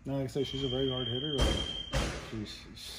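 A man's voice: medium pitch, fast, heavy background noise. A middle-aged man from Texas, a boxing coach, talking casually and repeating himself in a gym. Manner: relaxed, repetitive phrasing. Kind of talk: casual chat. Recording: echoey gym, heard from a distance.

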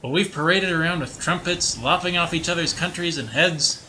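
A man's voice: on the high side, normal speed, pushed and bright, a little hiss or hum, voice pushed up, rough recording. A man playing a weathered, brooding old lighthouse keeper who muses wistfully in a folksy way, in an amateur audio drama. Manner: folksy, musing delivery.

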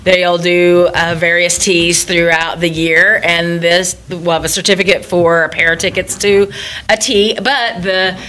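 A middle-aged woman guest on a local talk show, upbeat and brisk as she describes auction items. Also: on the low side, steady tone, sharp tone. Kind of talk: casual studio chat.

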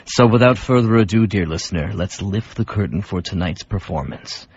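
A man hosting a radio drama show, giving a scripted introduction in theatrical, deliberate phrases with a husky voice. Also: low voice, darkish tone, muffled recording.

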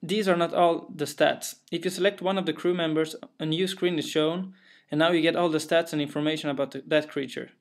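A man's voice: fairly high pitch, fairly even intonation, fairly quick. A young man from Sweden with a Swedish accent, a game developer talking through his game in careful, slightly hesitant English. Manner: careful, plain, a little hesitant with fillers. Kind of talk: narrated gameplay walkthrough.

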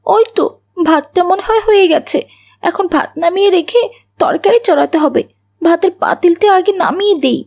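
A woman's voice: very high-pitched, normal speed, creaky, darkish tone. A woman voicing a meek, neglected young schoolgirl in a cartoon, with a plaintive, whiny delivery. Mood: self-pitying.

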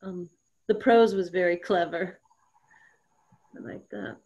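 A woman with a fairly low voice speaks slowly. She gives casual feedback in a discussion on a video call. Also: darkish tone.

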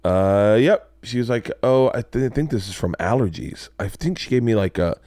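A man in his forties hosting a podcast, telling stories in an animated way and swearing freely, sitting in a studio lounge at a boom mic. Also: normal speed, no echo. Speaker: podcast host. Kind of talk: casual podcast storytelling. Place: studio lounge with leather couches. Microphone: boom mic on arm.

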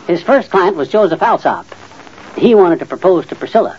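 An actor playing a blustery, folksy, know-it-all middle-aged husband in an old-time radio comedy. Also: male voice, sits high, lively intonation, brisk, breathy edge. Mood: folksy, explaining. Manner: folksy, boastful, muttering and sputtering. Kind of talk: 1940s-50s radio comedy acting. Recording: muffled old radio recording.

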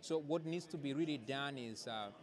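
A man with a Kenyan accent gives a considered interview answer, pausing to find his words. He stands outside in the city, and a crowd is clearly heard around him. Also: mid-range voice. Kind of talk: documentary interview.

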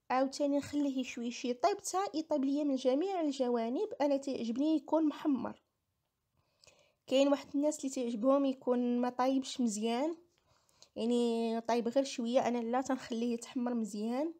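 A woman's voice: high-pitched, mellow. A woman with a Moroccan accent giving a casual recipe voice-over, explaining each step and repeating herself. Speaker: home cooking vlogger.

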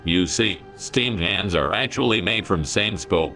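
A scheming principal with a harsh, wet voice who talks in slow, ominous tones, as a man puts him on. His low voice has a gravelly, sharp edge. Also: faint music behind, exaggerated voice. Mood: smug. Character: sinister scheming male school principal.

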